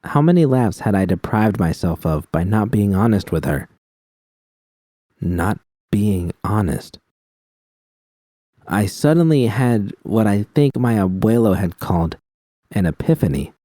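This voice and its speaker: A man with a low voice reads first-person fiction in a steady, plain manner. His tone is mellow and the recording sounds dry and close. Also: measured pace, pauses often, dry recording. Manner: steady, plain reading with frank profanity. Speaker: audiobook reader.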